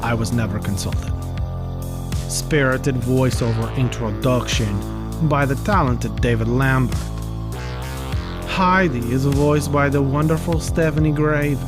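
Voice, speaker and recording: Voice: mid-range voice, natural voice. A performer voicing Igor, an indignant, grudging sidekick who announces a podcast in a theatrical way.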